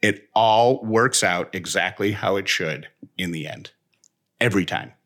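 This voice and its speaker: A man's voice: low voice, a little slow, a bit bright. A man in casual, reflective personal talk as a co-host, speaking in an earnest, reassuring way in long monologues.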